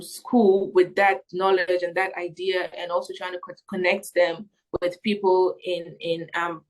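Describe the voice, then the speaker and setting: A young adult woman with a slightly low voice answers interview questions reflectively. She sounds earnest and thinks aloud, often halting as she works toward her point. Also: low-quality recording. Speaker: missionary interviewee.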